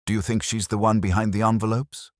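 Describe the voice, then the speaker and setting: A man's voice: on the low side, level tone, fairly quick, dry recording, studio quality, pitched above the performer's own voice, natural voice. A man playing an eager, naive police sergeant sidekick in a mystery audiobook, keen and simple in manner. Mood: eager surprise. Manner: keen, simple, faintly thrilled.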